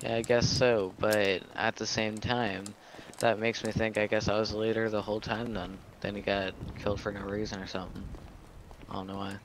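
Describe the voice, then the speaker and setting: A man's voice: fairly low pitch, flat delivery, moderate pace, noisy background. A man speaking hesitantly and in an understated way while improvising in character in an online game. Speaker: role-play gamer.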